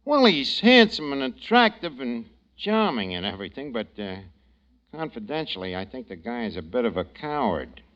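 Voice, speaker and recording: A man's voice: mid-range voice, sing-song, moderate pace, fairly bright tone, dull audio. An actor playing a cowardly, scheming barman with a New York accent and stammering slang in a 1940s radio comedy. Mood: confiding. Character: scheming, cowardly wisecracking barman with mangled grammar. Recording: old 1940s radio broadcast.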